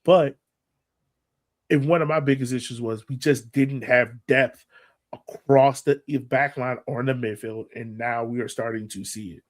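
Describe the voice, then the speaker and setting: A man's voice: mid-range voice, steady tone, moderate pace. A man co-hosting a sports podcast in casual fan chat, talking emphatically and repeating phrases to drive points home.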